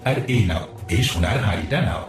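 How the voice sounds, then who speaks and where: A man voicing a gentle, grateful magical whale in a cartoon, speaking calm reassurances. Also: low voice, fast talker. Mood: gentle, reassuring. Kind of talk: cartoon voice acting. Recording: echoing, distant, music behind.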